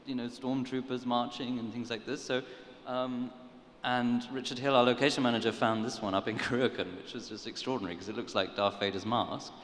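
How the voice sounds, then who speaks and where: Thoughtful male panelist, mid-pitched, roomy hall sound. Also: a bit bright. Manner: thoughtful, meandering. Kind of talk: panel discussion. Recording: echoey hall, faint hum.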